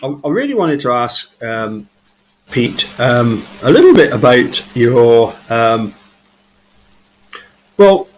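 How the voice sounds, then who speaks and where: A man's voice: medium pitch, sing-song, takes it slowly, long pauses, a little hiss or hum, poor audio. A man in his thirties hosting a casual live chat interview, stumbling and repeating words as he builds his questions. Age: 30s. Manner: hesitant, repeats words while asking questions. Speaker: host.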